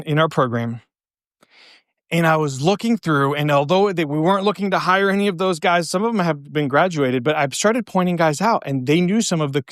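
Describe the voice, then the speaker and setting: A man with a slightly high voice speaks as a coach hosting a podcast. He explains things in a casual, conversational way, leaning on anecdotes. The sound is close and dry, with little room around it. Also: no echo. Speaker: baseball coach and podcast host. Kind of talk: casual solo podcast advice talk.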